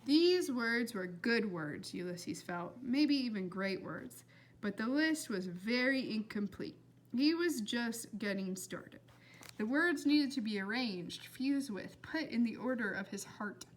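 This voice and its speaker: Animated woman reading a story aloud. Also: a little high, slow, rough recording. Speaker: storybook reader. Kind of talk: expressive read-aloud narration.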